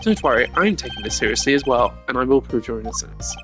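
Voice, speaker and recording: A man's voice: medium pitch, expressive, quick pace, music in the background, natural voice. A man voicing a brash, informal, confident detective in a video game, taunting his rivals. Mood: cocky, determined.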